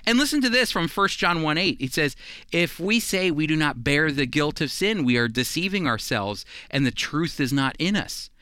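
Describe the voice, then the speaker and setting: A man's voice: on the high side, expressive, moderate pace, sharp tone, smooth, dry recording. A man teaching the Bible on a radio program in an earnest, emphatic, conversational preaching style.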